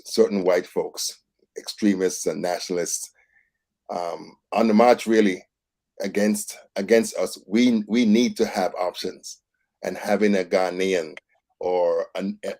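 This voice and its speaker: A middle-aged man with a fairly low voice, co-hosting a morning talk show. He speaks earnestly and emphatically, building his points phrase by phrase. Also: a little mellow. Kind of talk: casual panel discussion.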